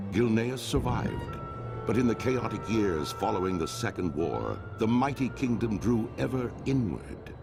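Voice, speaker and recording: A commanding narrator of an epic fantasy game saga, his low voice swelling and falling dramatically. The delivery is grave and portentous, with a gravelly edge, over a clear musical score. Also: exaggerated voice. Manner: grave, portentous, theatrical storytelling. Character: solemn, commanding male storyteller of an epic fantasy war saga. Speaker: cutscene narrator.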